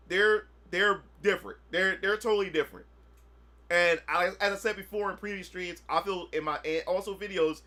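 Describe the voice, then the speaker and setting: A man in his thirties talks loosely to his stream audience, circling back over the same points. His voice sits high for a man and has a bright, sharp edge. He keeps the tone casual and conversational throughout. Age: 30s. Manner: rambling, repetitive, often asks "you know what I'm saying". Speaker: gaming streamer. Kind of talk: casual livestream chat.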